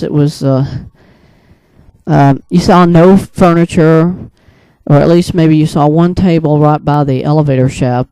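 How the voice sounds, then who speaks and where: A man with a mellow, smooth voice, pitched a little high, talks casually without a script. He rambles through his storytelling, hesitating and restarting as he goes. The sound is close and dry. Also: no room sound, low-quality recording. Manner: rambling, hesitant, halting mid-thought. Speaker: video creator and storyteller. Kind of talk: casual unscripted monologue recounting a dream.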